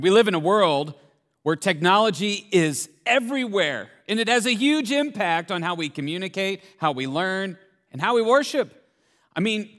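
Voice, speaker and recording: A pastor in his forties preaching a sermon, energetic and joking, full of rhetorical questions. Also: high voice. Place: large church auditorium. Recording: echoey church hall.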